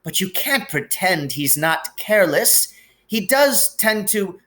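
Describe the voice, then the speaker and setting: A man's voice: high voice, sing-song, takes it slowly, bright, forceful tone, pitched above the performer's own voice, exaggerated voice. A man voicing an anxious, stern older witch who is a professor in a storybook. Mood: grudging doubt. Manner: astonished, disapproving.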